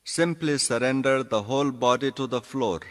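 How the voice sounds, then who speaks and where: A man giving guided meditation instructions as a yoga nidra teacher, speaking deliberately in short phrases with pauses. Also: mid-range voice, a bit bright. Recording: long reverberant hall echo.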